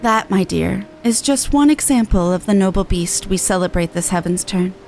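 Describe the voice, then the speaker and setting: A woman voicing a courteous, formal storyteller lady who recites old legends in a measured, archaic manner for a fantasy game. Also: medium pitch, vocal fry, darkish tone, soft background music, pitched below the performer's own voice, close to an everyday voice. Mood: solemn, reverent.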